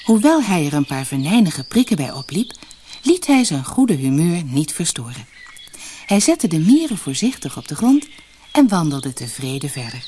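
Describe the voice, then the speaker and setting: A woman narrating a fairy tale for an audiobook in an expressive, dramatic storytelling manner. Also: on the low side, a little hiss or hum.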